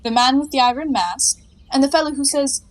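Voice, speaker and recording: An actress playing a flippant, quick-witted young woman in a 1920s comedy audio play, breezy and playfully sarcastic. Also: high-pitched, close to an everyday voice. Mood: mocking.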